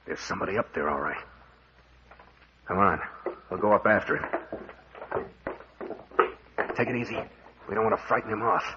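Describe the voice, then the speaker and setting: An actor playing a brash, fearless captain in an old-time radio mystery, hard-boiled and slangy, with an American accent. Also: male voice, on the low side, animated, fast, close to an everyday voice. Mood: brisk, wary. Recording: muffled, noisy old radio recording.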